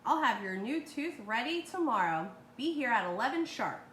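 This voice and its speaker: A woman's voice: on the high side, very animated, moderate pace, fairly bright tone. A woman voicing a firm, wary male dentist from a children's storybook, matter-of-fact and emphatic. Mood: businesslike. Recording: roomy, echoey with background noise.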